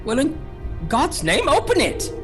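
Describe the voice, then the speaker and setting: An actor playing a nervous English gentleman held captive in a horror audio drama, halting and stammering as he speaks. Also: extremely high pitch, wildly swooping pitch, heavily acted. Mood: desperate. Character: anxious English gentleman trapped in a vampire's castle. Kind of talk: theatrical horror audio drama narration and acting. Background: music.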